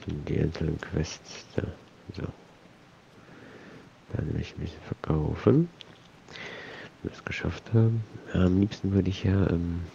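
A man's voice: sits low, vocal fry, dark tone, dull audio, slight background noise. A man with a cold, casually rambling and muttering to himself while commenting on his gameplay. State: has a cold. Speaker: let's play host.

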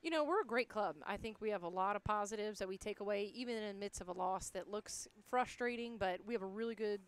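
Woman, thirties, coach in postgame interview, brisk pace, smooth clear voice, headset mic. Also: medium pitch. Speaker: college softball head coach. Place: indoors in front of a backdrop.

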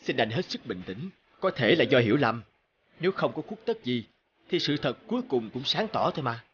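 A man voicing a polite, firm social worker in a cartoon, formal and measured. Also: mid-range voice, sing-song, dull audio, slight background noise, natural voice. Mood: calm, regretful. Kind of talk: animated cartoon dubbing.

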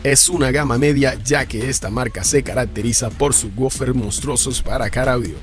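A man's voice: medium pitch, moderate pace. Man narrating car audio specs over music. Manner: reads spec lists and prices in an even explaining tone. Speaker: car audio channel host. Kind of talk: scripted product narration. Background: background music.

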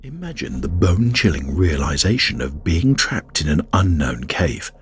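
A man reading a scripted documentary narration in a grave, measured way. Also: low voice, sing-song, slightly rough. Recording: faint music bed under voice-over.

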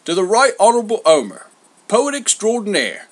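A man voicing a cheeky, mischievous young messenger god in a storybook, brash and loud with slangy delivery. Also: sits high, huge pitch swings, normal speed, voice pushed up, exaggerated voice. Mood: grand proclaiming.

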